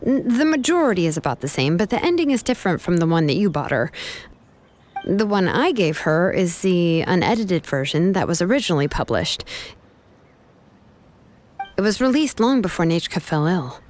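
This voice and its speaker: A woman's voice: lowish voice, big pitch swings, normal speed, a little mellow, a little hiss or hum. A woman playing a cool, shrewd and determined young woman in a video game, probing and firm in manner. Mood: casual, probing.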